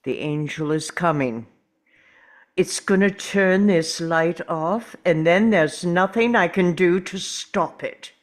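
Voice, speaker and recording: An actor in a script table read playing an urgent, stubborn, protective time traveller, speaking earnestly and deliberately. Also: mid-range voice, lightly acted. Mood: intense, urgent.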